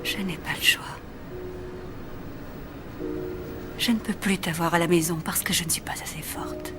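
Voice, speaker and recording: A woman playing a stern, controlled mother worn down by her rebellious son in a dubbed TV drama, with measured, formal, biting delivery that often turns hushed. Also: fairly low pitch, steady tone, in a whisper, close to an everyday voice. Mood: hushed, sorrowful. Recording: music under the voice. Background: music.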